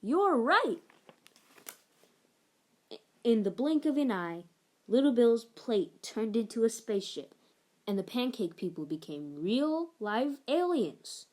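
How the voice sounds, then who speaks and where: A woman reading a children's picture book aloud, narrating in an expressive, measured storytelling manner. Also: a little high. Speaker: story reader.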